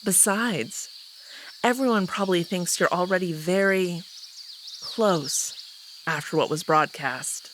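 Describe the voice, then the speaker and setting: A woman's voice: fairly low pitch, slow, fairly bright tone, slight background noise. A woman playing a haughty, teasing female alien wolf noble in a visual novel, arch, composed and dismissive.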